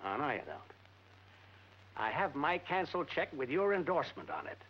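An actor playing a hard, threatening older crooked boss with a sneering, domineering manner in a 1940s film. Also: male voice, a little high, wildly swooping pitch, moderate pace, slight background noise. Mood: gloating threat. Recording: dull 1940s film soundtrack with music.

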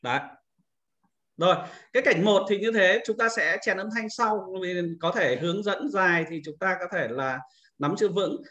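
A man's voice: sits high, normal speed, pushed and bright, highs cut off. A man giving an informal screen-recorded tutorial as an instructor, explaining things step by step and often repeating himself.

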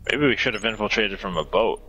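A man's voice: fairly low pitch, animated, quick pace, bright, forceful tone, a little hiss or hum, rough recording. A man chatting casually as a teammate in a video game. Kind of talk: casual gameplay chat.

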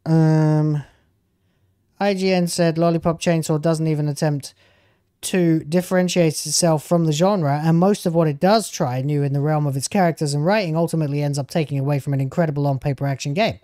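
A man's voice: on the high side, fairly even intonation, brisk, warm tone, no room sound, studio quality. A man in his thirties streaming from home on a headset mic, reacting to video clips in casual, argumentative commentary. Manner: argumentative, talks back at the clips.

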